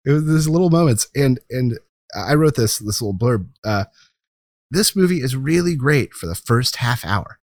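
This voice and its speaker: A man's voice: mid-range voice, expressive, moderate pace, darkish tone, dry recording, studio quality. A man co-hosting a podcast, making his case about movies in casual, emphatic, opinionated talk. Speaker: podcast co-host. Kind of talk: casual film commentary, arguing a case.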